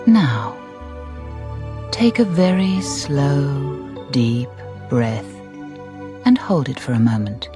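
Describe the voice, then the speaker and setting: A woman narrating a guided meditation in a soft, breathy voice, soothing and deliberate, with gentle phrasing and pauses. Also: a bass voice, animated. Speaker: relaxation guide. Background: music.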